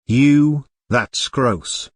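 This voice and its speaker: A timid, kindly male daycare attendant played by a man speaks plainly and earnestly at medium pitch. It is an amateur drama with a very dry recording. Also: drawn-out words, no room sound. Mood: uneasy. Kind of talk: amateur scripted audio drama.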